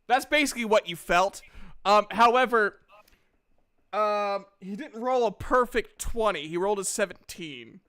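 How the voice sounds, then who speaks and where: A woman improvising casual narration as a tabletop game master, haltingly restarting her descriptions. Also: medium pitch, fairly bright tone.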